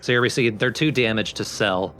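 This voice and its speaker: A man's voice: fairly low pitch, brisk, bright, forceful tone, soft background music. A man giving casual, explanatory gameplay commentary in an informal way. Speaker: let's play host and player.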